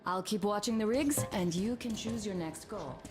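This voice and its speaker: An actress playing a practical young woman scout in a video game, speaking in a calm, matter-of-fact way. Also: medium pitch, moderate pace, a little hiss or hum, natural voice. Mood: calm, caring. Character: young woman scout in a post-apocalyptic band of survivors, practical.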